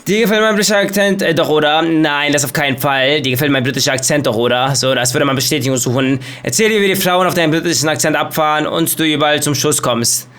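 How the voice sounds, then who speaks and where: A man's voice: a little high, fairly bright tone. A man commenting playfully on a game while reading out the choices. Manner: quick, joking, reads choices aloud. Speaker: gaming YouTuber. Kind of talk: let's play commentary.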